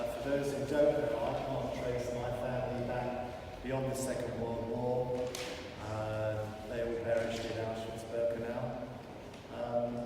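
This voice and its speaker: Solemn man speaking in an echoey hall. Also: medium pitch. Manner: measured, solemn, reading a letter aloud. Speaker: council event host. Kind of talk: formal memorial speech. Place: large council hall. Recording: echoey hall, mic far off, noisy.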